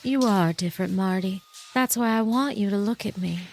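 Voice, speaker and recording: Woman playing scheming noir wife, medium pitch, cool, cutting, faintly noisy recording. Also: moderate pace, darkish tone, lightly acted. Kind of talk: old-time radio noir acting.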